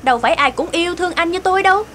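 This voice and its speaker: Very high female voice, scornful young cartoon wife, sharp, scolding, rapid. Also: a little hiss or hum. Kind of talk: animated drama voice acting.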